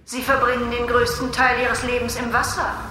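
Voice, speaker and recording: An actress playing an insistent, curious press reporter in a radio drama. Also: female voice, a little high, monotone, moderate pace, bright, forceful tone. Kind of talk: German radio drama acting. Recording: very echoey hall, faint noise.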